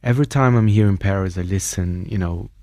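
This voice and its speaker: Enthusiastic low-voiced male radio interviewer. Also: fairly quick, dry recording, clean studio sound. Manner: enthusiastic, chatty, warm questions with tag "right?".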